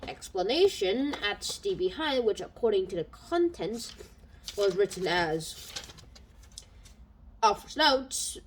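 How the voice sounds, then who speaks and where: Chatty woman with a lively, rambling voice. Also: mid-range voice, slow, pauses often, slight background noise, rough recording. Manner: rambling, thinks aloud with fillers and restarts. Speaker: book reviewer. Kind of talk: casual spoken book review. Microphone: home microphone.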